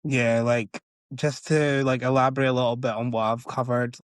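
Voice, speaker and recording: A man's voice: medium pitch, flat delivery, moderate pace, dry recording, studio quality. A man co-hosting a podcast in a chatty, rambling way, filling his sentences with "like". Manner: chatty, rambling with many likes. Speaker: podcast co-host. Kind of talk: casual chat.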